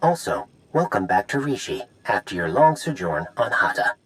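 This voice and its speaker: An actor playing a dutiful messenger droid in a video game, stiffly polite and procedural. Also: male voice, fairly low pitch, big pitch swings, moderate pace, a bit bright, low-quality recording. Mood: formal, courteous.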